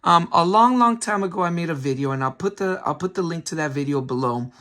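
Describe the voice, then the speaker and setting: A man with a hoarse voice, still recovering from a cold, hosting a casual game review with lots of ums and restarts. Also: fairly high pitch, expressive, fast talker, pushed and bright. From San Francisco Bay Area. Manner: chatty, many ums, restarts himself. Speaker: retro game collector channel host.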